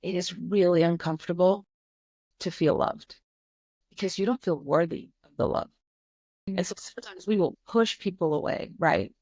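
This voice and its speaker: A woman's voice: lowish voice, normal speed, darkish tone, dry recording. A woman in her forties from Croatia, a podcast guest telling her family's story candidly, earnest and emphatic, often repeating herself for weight. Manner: earnest, emphatic, leans on repetition.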